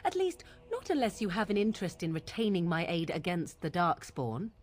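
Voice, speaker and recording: An actress playing a proud, brooding young witch in a fantasy video game, with formal, archaic and deliberate diction. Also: lowish voice, sing-song. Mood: resolute. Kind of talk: video game dramatic dialogue.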